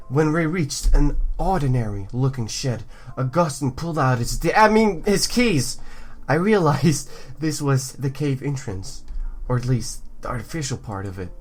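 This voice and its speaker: A man hosting a let's play, reading story text aloud with joking asides and stumbles over words. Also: medium pitch. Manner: jokey, stumbles over words and repeats them for effect. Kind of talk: playful game commentary mixed with reading visual novel text aloud.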